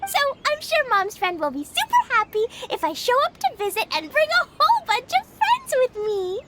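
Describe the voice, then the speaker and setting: A woman voicing an excitable, cheerful little girl in a video game, eager and childlike. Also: extremely high pitch, heavy background noise, heavily acted. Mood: excited. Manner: eager, childlike, quick to protest innocence. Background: game music.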